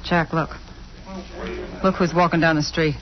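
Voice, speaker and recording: A woman's voice: sits low, steady tone, measured pace. An actress playing a hard, testy woman ex-convict in a 1940s radio crime drama, on an old recording. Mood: guarded, curt. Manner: terse, tough. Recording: muffled old-time radio recording, crowd sounds.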